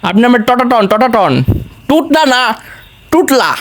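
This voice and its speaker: A man's voice: very high voice, sing-song, unhurried, a bit bright, heavily acted. A man voicing a pushy, lisping, stammering trader making a prank call. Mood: pestering. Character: stuttering, lisping, pestering goat-seller caller. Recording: noisy background.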